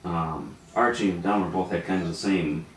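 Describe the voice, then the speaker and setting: A man's voice: deep voice, fairly even intonation, moderate pace. A man interviewing a relative, encouraging and chatty, with many short acknowledgements. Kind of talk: family interview. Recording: echoey room, mic at a distance, faint noise.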